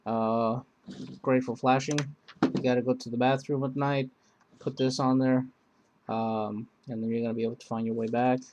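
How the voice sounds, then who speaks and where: A man hosting a casual, unscripted product review, speaking in a halting, rambling way that often circles back on itself. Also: mid-range voice, little pitch movement, noisy background. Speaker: video host reviewing gear.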